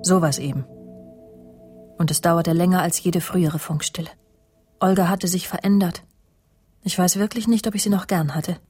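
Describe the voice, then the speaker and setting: Low-voiced, possessive, needling woman over music. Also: steady tone, normal speed, long pauses, a little mellow, husky. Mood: bitter, confiding. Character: possessive, jealous, needling woman recounting a feud. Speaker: radio drama actress. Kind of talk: German radio crime drama, dialogue and confessional narration.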